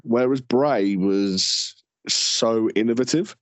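A young man co-hosting a podcast in casual chat, relaxed and hesitant, repeating words and filling pauses. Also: medium pitch, no room sound. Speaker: podcast co-host. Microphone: home mic without headphones.

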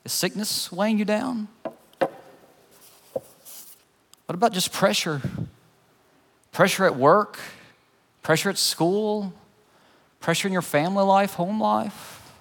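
A man's voice: high-pitched, slow, gravelly, pauses often, a bit bright. A middle-aged man preaching a sermon in a conversational, earnest way, telling personal stories and putting questions to his listeners. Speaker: pastor preaching. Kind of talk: sermon with personal storytelling and illustration. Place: large church room, preaching to a congregation. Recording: long hall reverb.

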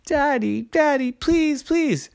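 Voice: sits high, slow pace, vocal fry, background noise, voice pushed up, full character voice. A storyteller voicing an eager, pleading little girl with a mischievous streak, in a bedtime story. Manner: pleading, insistent.

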